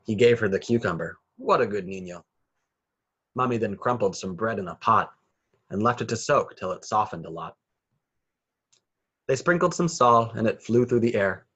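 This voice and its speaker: A man's voice: fairly low pitch, animated, normal speed, long pauses, fairly bright tone, breathy edge, highs cut off. A man reading a children's picture book aloud with rhythmic, sing-song phrasing. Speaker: children's story reader.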